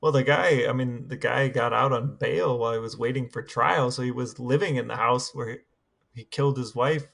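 Husky male podcast co-host. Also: mid-range voice, steady tone, normal speed, a bit bright. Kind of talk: casual chat and interview questions.

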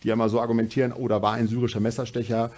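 Mellow husky analytical man. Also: medium pitch, level tone. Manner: measured, analytical. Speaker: panel guest. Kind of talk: studio panel discussion. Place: studio stage.

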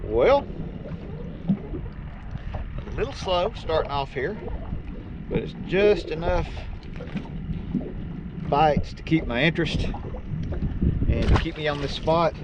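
Older guy chatting on a fishing boat, kinda high voice for a man, tons of wind noise. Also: lively intonation, takes it slowly, long pauses. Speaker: fishing vlogger. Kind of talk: casual fishing vlog commentary. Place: on a small open boat on open water. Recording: windy outdoor noise, muffled action-camera sound. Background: loud wind and water noise.

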